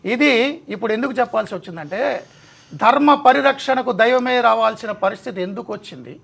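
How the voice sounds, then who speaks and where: A man's voice: extremely high pitch, lively intonation, fast, creaky, fairly bright tone. A male TV host delivering a dramatic scripted monologue in short, weighty rhetorical phrases. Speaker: TV show host.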